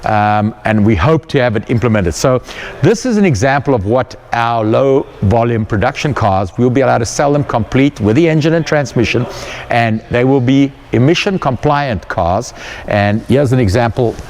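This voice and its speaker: A man's voice: medium pitch, lively intonation, moderate pace, darkish tone. A South African man, whose first language is not English, leads a casual, enthusiastic tour of his car workshop and often repeats words as he searches for them. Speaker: company founder giving a shop tour. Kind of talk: casual guided tour talk.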